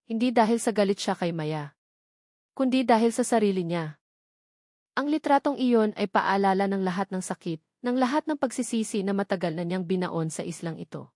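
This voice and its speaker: A Filipino woman narrates a romantic audio drama in a mid-pitched voice. The sound is dry and close, without room echo. She phrases each line expressively and with measured suspense, as a storyteller does. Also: darkish tone.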